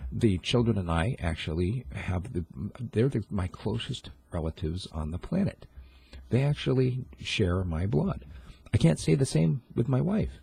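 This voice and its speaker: A middle-aged man hosting a solo commentary, talking in halting bursts with frequent restarts and self-corrections. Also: low voice, vocal fry, warm tone, slightly rough. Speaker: commentary host.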